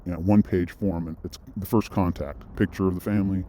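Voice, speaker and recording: Deep, dark-voiced man telling a story. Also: steady tone, fast talker, slight background noise. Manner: rambling, with frequent restarts and asides. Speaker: vlogger. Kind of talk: casual storytelling monologue.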